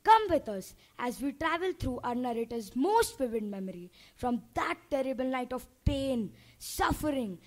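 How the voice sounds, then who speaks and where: A teenage girl reciting a lyrical introduction to a school play in an auditorium, with an expressive delivery. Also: high voice.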